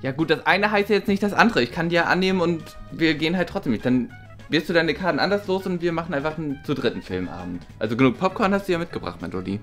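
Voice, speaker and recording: Guy acting torn roommate dude, talks fast but hesitant, fed-up peacemaker, web soap, faint music. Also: a little high, animated, fairly bright tone. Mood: conciliatory. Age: young adult. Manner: hesitant, apologetic, colloquial. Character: wavering, exasperated young man roommate Luca, peacemaker. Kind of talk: improvised web drama for young viewers. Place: living room indoors.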